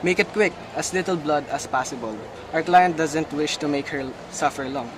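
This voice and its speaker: A cold-blooded criminal boss acted by a man issues commands in a fairly high male voice. The delivery is deliberate and businesslike, amateur radio drama with clearly noisy ambience behind it. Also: close to an everyday voice. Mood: cold, instructing. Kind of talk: amateur radio play acting.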